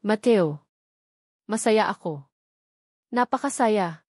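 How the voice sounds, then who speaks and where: A woman's voice: mid-range voice, lively intonation, takes it slowly, a little mellow, no room sound, studio quality. A woman voicing a tender, heartfelt, emotional bride in a romance story. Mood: happy. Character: tender, emotional bride, once fearful, now happy.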